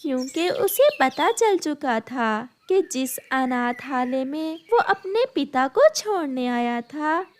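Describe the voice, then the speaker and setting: Female storyteller for cartoon narration, high-pitched, reading at a very slow pace. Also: expressive, slight background noise.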